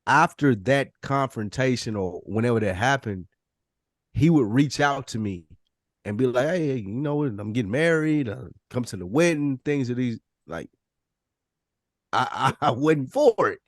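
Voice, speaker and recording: A man's voice: mid-range voice, lively intonation, normal speed, no echo. Man, casual podcast talk, blunt delivery, repeated restarts, dry acoustic. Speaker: podcast panelist. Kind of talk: casual chat.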